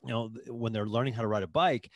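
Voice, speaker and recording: An earnest man in his forties talks into a studio mic in his home office, sounding animated and gesturing as he makes his points. The room sounds dry, with no echo, and his voice sits at an average pitch for a man. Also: fast. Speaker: guest, performance coach. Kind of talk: interview answers. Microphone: studio mic in front of him.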